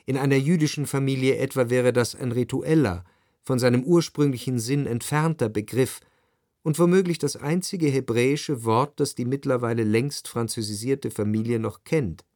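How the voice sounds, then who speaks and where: Period radio play narrator male actor: analytical, measured, mid-pitched, in a very dry studio sound. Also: darkish tone, no room sound, close to an everyday voice. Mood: reflective. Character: reflective, analytical literary narrator of Parisian society. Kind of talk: scripted literary narration.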